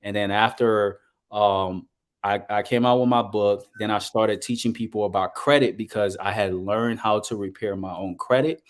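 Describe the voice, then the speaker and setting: A man's voice: fairly low pitch, steady tone. A man from Liberia in his thirties, a guest business coach, speaking earnestly and steadily with many fillers over a video call. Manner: earnest, measured, with frequent fillers. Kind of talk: casual interview talk.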